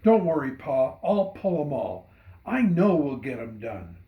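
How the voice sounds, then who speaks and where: A man voicing an earnest, excitable farm boy devoted to his father, in a poem reading. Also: a little high, a little slow, narrow, tinny audio. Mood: earnest, eager. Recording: roomy echo.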